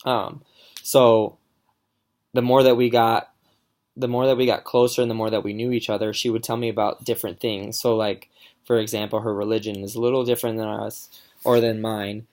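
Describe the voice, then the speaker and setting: A man's voice: fairly low pitch, level tone, moderate pace, darkish tone. A young man giving a casual class presentation, talking in a hesitant way with many fillers and restarts. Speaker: student presenter.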